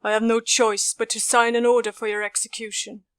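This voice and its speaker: Woman acting stern commander, fairly high even voice, clear, formal, measured, audiobook character. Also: no echo, natural voice. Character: formal, stern, duty-bound military commander.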